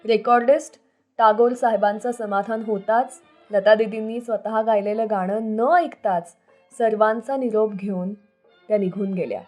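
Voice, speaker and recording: A woman's voice: mid-range voice, steady tone, normal speed, darkish tone. A woman hosting a radio show, narrating scripted stories about old songs. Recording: music playing under the voice. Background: background music.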